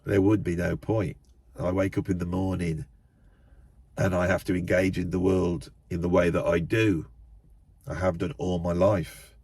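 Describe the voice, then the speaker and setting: A man ranting into a camera in his parked car, loud and emphatic, repeating himself, his nose a little blocked up. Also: sits low, little pitch movement, measured pace, no echo. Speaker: YouTube channel host. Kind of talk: impassioned monologue to camera. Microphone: camera set up in the car.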